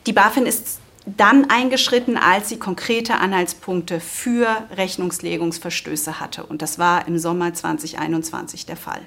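Lower-pitched woman, measured official spokesperson. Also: fairly even intonation, gravelly, fairly bright tone. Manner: measured, official, matter-of-fact. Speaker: financial regulator spokesperson. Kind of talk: formal explanatory interview answers.

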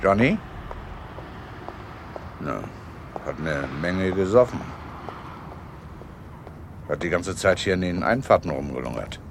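Gruff city local for radio drama: extremely low, creaky voice, laconic, street noise behind. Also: male voice, expressive, slow, pauses often, exaggerated voice. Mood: laconic, curious. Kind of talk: German radio play acting. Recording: noisy street ambience with traffic.

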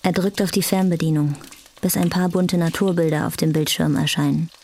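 A woman's voice: deep voice, steady tone, fairly quick, darkish tone, a little hiss or hum. A woman reading scripted literary narration as the narrator of a radio drama. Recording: faint music behind.

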